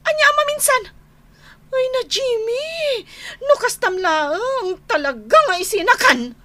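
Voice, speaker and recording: An exasperated young wife in a radio drama. Her voice is high and swings widely in pitch as she nags with open emotion. She takes her time over the words. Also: expressive, takes it slowly, bright, forceful tone, exaggerated voice. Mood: exasperated scolding. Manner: nagging, emotional. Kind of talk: Ilocano radio drama acting.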